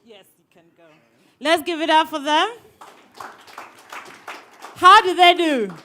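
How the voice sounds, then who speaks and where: A woman leading a workshop session, lively and teasing, often repeating people's words back to them, speaking into a microphone to an audience. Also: very high voice, takes it slowly, background noise. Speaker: workshop trainer. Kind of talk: interactive training session. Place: training room with an audience.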